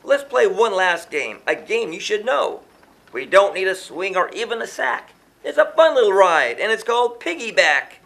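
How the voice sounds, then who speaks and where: A stubborn young boy from a children's storybook, performed by a man. He sounds high and animated, with a cocky, playful streak. His lines bounce along in rhyme. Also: moderate pace, vocal fry, full character voice.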